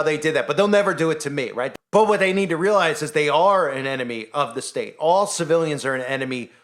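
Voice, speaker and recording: Man, slightly high voice, channel host, emphatic opinionated monologue, rhetorical questions. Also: fairly bright tone. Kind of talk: opinionated monologue to his viewers.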